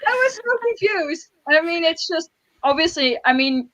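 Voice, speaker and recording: High-voiced, emphatic Dutch woman. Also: animated, normal speed, a bit bright. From Netherlands. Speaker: book channel co-host. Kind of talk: casual group chat.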